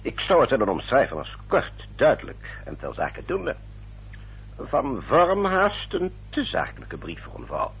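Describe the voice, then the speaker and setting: A man playing an older, formal Victorian gentleman adviser in a Dutch radio drama, rough-voiced, deliberate and emphatic. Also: mid-range voice, huge pitch swings, heavily acted. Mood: judicious, assured. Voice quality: husky, rough. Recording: dull, muffled old radio recording.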